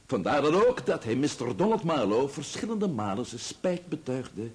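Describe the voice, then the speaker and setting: A man's voice: mid-range voice, very animated, moderate pace, natural voice. An actor in a Dutch radio play playing a solemn defence barrister, formal and emphatic as he addresses a jury. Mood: solemn, persuasive.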